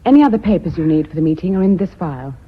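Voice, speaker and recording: An actress with a British accent playing a composed, devoted career secretary in a radio drama. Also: female voice, on the low side, animated, rapid-fire, slightly rough. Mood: deferential. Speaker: actor in a radio play. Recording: dull lo-fi radio recording.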